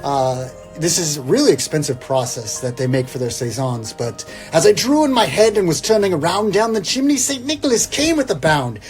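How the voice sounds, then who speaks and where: Higher-voiced man, wry and theatrical, over music. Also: very animated, moderate pace, hardly any pauses. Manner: wry, irreverent, theatrical when reading verse. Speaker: guest reader, comedic poem reciter. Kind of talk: dramatic poem recital broken by casual joking asides. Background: instrumental Christmas music.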